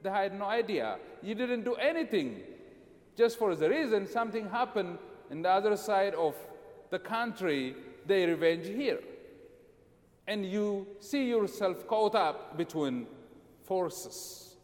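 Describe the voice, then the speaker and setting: Preacher from Ethiopia, a man with a high voice, emphatic, repetitive sermon phrasing, long reverb of a church hall. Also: very animated, measured pace. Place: church sanctuary.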